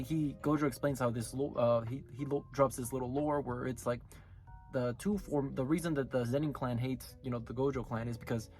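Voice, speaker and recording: Sick, raspy-voiced man reviewing anime. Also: mid-range voice. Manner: rambling, self-correcting. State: sick, hoarse. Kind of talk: casual video review.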